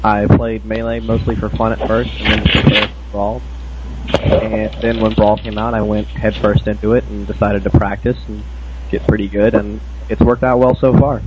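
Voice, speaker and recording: A man introducing himself as a guest on a podcast, matter-of-fact and even. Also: fairly low pitch, normal speed. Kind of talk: casual self-introduction. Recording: dull lo-fi mic with noise.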